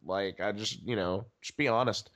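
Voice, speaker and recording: A man from Tennessee with a faint trace of its accent and a rough smoker's voice, a podcast guest talking bluntly in casual chat with lots of stammered restarts. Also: fairly low pitch, normal speed, fairly bright tone. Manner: blunt, rambling, many restarts. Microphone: podcast microphone.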